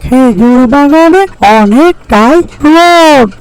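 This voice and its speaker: Performer playing small earnest cartoon bird with dreams, high-pitched, unhurried storytelling lines. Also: lively intonation, exaggerated voice, rough recording. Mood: happy, hopeful. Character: Tuni, small hopeful hardworking bird guarding her date palms. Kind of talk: Bengali fairy-tale cartoon dubbing.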